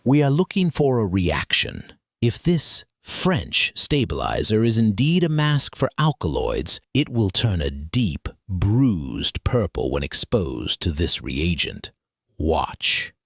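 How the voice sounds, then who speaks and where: A man acts out a pompous master detective in a mystery drama, his voice low and husky. He speaks slowly and formally, with deliberate diction and lively swings of pitch. He is coolly precise and commanding. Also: no echo, studio quality, full character voice. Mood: stern, precise. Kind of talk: scripted mystery audio drama.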